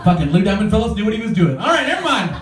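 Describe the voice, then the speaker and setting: A male stand-up comic performing in a lounge, his voice high for a man and swinging widely in pitch as he acts out the people in his stories. He sounds roomy and a little distant from the mic, with a lively crowd laughing around him. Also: racing. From Mexican-American. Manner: animated, jumps into high voices for quoted people. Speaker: stand-up comedian. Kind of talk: stand-up comedy set. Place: comedy lounge, live audience.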